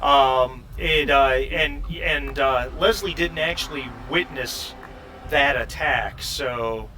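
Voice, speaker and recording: A man running a tabletop game as game master, narrating off the cuff in a halting way, often repeating and restarting his phrases. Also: mid-range voice, bright, forceful tone. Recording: noisy, distant microphone.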